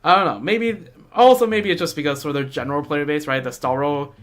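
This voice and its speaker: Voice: medium pitch, brisk. A streamer chatting informally about a video game in a fan-like, slangy way. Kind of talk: casual livestream chat mixed with reading game dialogue aloud.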